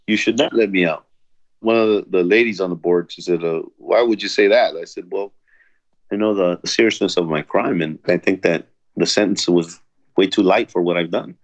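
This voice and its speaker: A man's voice: deep voice, normal speed. A man telling his own story of prison in an earnest, plain way, with restarts. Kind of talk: personal testimony interview.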